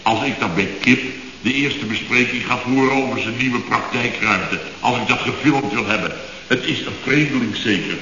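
Radio comedy boss, male actor: excitable contractor, bright forceful voice, blustering and indignant, on a hissy echoey old recording. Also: medium pitch, normal speed, dull audio, lightly acted. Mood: grumbling, appalled. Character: flustered, excitable male building contractor boss recounting his mishaps. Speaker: construction firm boss. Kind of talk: radio comedy serial acting.

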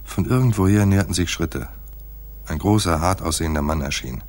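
An actor playing a laconic hard-boiled private detective in a noir radio play, with a dry, terse delivery and a husky voice. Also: male voice, a little fast, darkish tone, natural voice. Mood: dry, detached. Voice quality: husky, very deep. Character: hard-boiled male private detective narrating his own case. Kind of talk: noir radio play, first-person narration.

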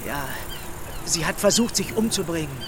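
A man's voice: a little high, animated, normal speed, noisy background, close to an everyday voice. An actor playing a hesitant townsman bringing grave news in a German radio drama.

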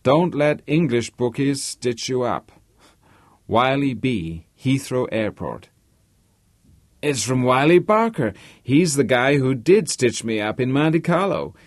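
Guy doing a big loud american rich man, boastful and talky, pitched up and swooping, audiobook character. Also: animated, slow, dry recording, studio quality. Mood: loud, jovial. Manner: loquacious, blustering.

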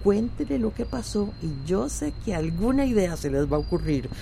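A woman's voice: mid-range voice, big pitch swings, moderate pace, gravelly, dark tone, a little hiss or hum. An actress in a radio drama playing a wise, motherly village mentor, soothing and earnest, with a Central American accent. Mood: gentle, encouraging.

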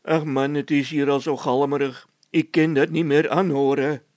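A man's voice: a little high, level tone, normal speed, a little mellow, no echo, close to an everyday voice. A man voicing a peevish, spiteful road labourer who is easily irritated, in a storybook tale.

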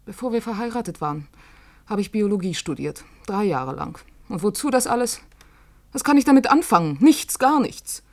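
A woman's voice: medium pitch, lively intonation, normal speed, natural voice. Bitter, rebellious young wife in dystopian radio drama, played by a woman. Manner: bitter, brooding, theatrical. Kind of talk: German radio play acting.

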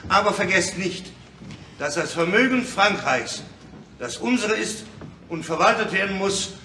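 Embattled official, sharp and high. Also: male voice, expressive, a little slow, a little hiss or hum, full character voice. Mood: defensive. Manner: formal, declamatory, insistent. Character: embattled, pedantic male finance delegate defending caution. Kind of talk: theatrical radio play oratory. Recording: echoey hall, distant radio play recording.

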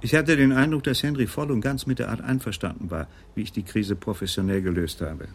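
A male actor playing a coolly callous police officer in a radio crime play, smooth, official and self-justifying. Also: on the low side, fairly quick. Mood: smug. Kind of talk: German radio crime drama acting.

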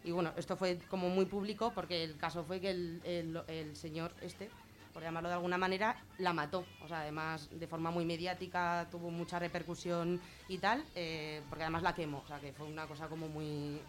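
Woman from Spain, informal and halting explainer. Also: lowish voice, level tone. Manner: colloquial, halting, thinking aloud. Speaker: guest explaining gender-violence legislation on a radio show.

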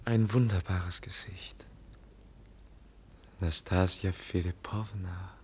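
A man playing a sensitive, sickly young prince in a radio drama, sincere, musing and halting. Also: deep voice, fairly even intonation, a little mellow, a little hiss or hum. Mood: entranced.

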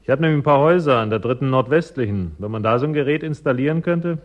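Man playing nosy calculating speculator, radio drama, talks fast, kinda dull old recording. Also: mid-range voice, animated, close to an everyday voice. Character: inquisitive, calculating male property speculator. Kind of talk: German radio drama acting. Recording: dull early-1980s radio drama sound.

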